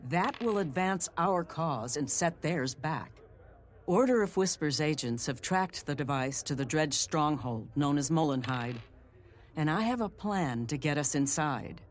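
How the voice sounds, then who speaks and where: An actor playing a sly, self-assured secret agent in a fantasy video game, talking in a wry, confident manner. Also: male voice, on the high side, animated, a little slow. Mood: persuasive. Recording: game music behind the voice.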